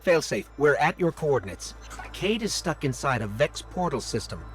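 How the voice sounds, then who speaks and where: An actor playing a small, plucky, talkative robot companion in a video game cutscene, earnest and inquisitive. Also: male voice, fairly high pitch, big pitch swings, moderate pace, a bit bright, faint music behind. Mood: businesslike.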